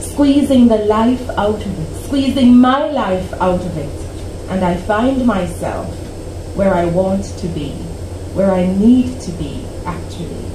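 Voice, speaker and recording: A woman of about forty reading her own poem aloud at a cafe open mic, slow and deliberate with dramatic pauses. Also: medium pitch. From Canada. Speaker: poet. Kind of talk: poetry reading. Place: small cafe stage before a seated audience. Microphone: stand mic, recorded by a camera at the back. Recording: roomy, distant camera audio with hum.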